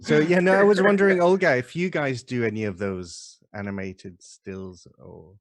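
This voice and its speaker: A man chatting on a panel in a relaxed way, often trailing off quietly. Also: mid-range voice, moderate pace. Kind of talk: casual video call panel.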